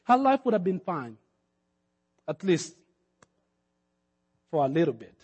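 A man's voice: a little high, sing-song, a little slow, a little mellow, breathy edge, highs cut off. A man from Kenya preaching a sermon, building to emphatic peaks and acting out the words of the people in his story. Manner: preaching with rising emphatic peaks and acted-out quotes. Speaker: pastor. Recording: long hall reverb.

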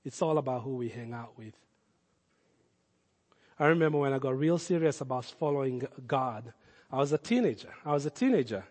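Kenyan-accented pastor preaching with passion. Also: male voice, animated, brisk, long pauses, long reverb tail. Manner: impassioned preaching, rising loud then dropping low and intimate. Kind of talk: sermon.

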